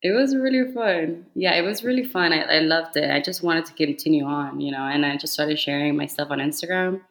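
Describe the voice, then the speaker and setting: Chatty young Cuban-accented woman, low voice. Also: a little fast. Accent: Cuban Spanish. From Cuba. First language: Spanish. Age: 20s. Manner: chatty, many "like" fillers. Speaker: podcast guest, fitness influencer. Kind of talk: casual chat.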